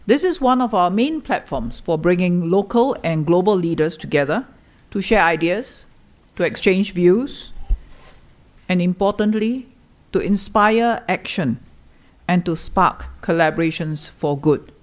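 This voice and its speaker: Measured woman opening a forum. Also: on the low side, animated. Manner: measured, declamatory listing. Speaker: forum host. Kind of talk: formal welcome speech.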